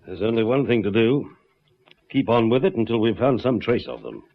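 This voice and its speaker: An actor playing a firm, brisk, authoritative Australian police detective in a radio drama. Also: male voice, mid-range voice, very animated, gravelly. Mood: determined. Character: determined, hard-nosed Australian police detective. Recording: dull lo-fi radio recording.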